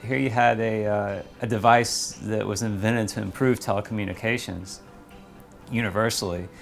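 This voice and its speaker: A man explaining history in a measured way, as in a documentary interview. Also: lowish voice, fairly even intonation, gravelly. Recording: music behind the voice. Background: plucked guitar music.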